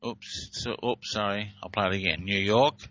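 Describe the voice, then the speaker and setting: A man talks through recorded clips in a loose, halting way, with frequent "uh"s and restarts. His voice is bright and forceful. The sound is dry, close and slightly dull. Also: lowish voice, fairly even intonation, no echo. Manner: hesitant, many fillers and restarts. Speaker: presenter explaining speech reversal recordings. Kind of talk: casual explanatory talk over played clips.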